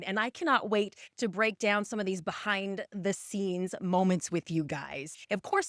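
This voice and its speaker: A woman's voice: mid-range voice, a bit bright, no room sound, clean studio sound. A woman hosting a podcast interview in an upbeat, chatty, enthusiastic way. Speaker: podcast host.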